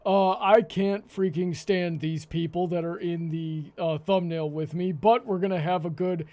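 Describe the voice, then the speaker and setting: A man hosting a live panel discussion, with a hesitant, halting delivery that often stammers and repeats words. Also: high-pitched, fairly even intonation, dark tone. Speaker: host.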